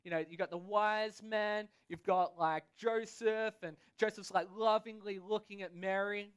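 A man's voice: high voice, fairly even intonation, a bit bright, clean tone. Casual Australian man preaching. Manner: casual, chatty, pauses for translation. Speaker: pastor. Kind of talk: sermon with live interpreter.

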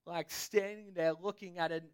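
A man's voice: high voice, steady tone, clean tone. Aussie guy preaching, laid-back and chatty, stops every line for the translator. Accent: Australian. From Australia. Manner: casual, chatty, pauses for translation. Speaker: pastor. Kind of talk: sermon with live interpreter.

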